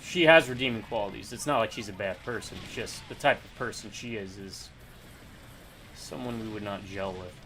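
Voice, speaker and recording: A man's voice: lowish voice, normal speed, noisy background. A man chatting casually while playing a co-op game, joking and thinking aloud. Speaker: co-op game player. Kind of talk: casual gameplay chat.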